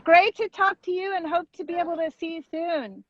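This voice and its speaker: A woman's voice: extremely high pitch, brisk, fairly bright tone, lo-fi sound. A woman conducting a casual interview in an effusive, appreciative way.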